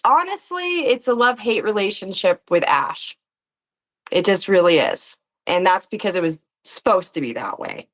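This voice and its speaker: A woman's voice: medium pitch, animated, moderate pace, a bit bright. A woman speaking as an interview guest in casual, reflective chat, often laughing as she talks. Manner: giggly, laughs often while talking. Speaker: guest, player and actor on a tabletop game show.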